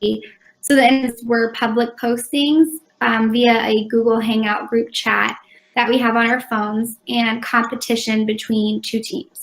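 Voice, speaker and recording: A young woman from Indiana speaks in a slightly high voice as she presents her part of a class project. She reads plainly, with an occasional "um". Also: level tone, a little slow, roomy sound, low-quality recording. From Valparaiso, Indiana, USA. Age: young adult. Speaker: student presenter.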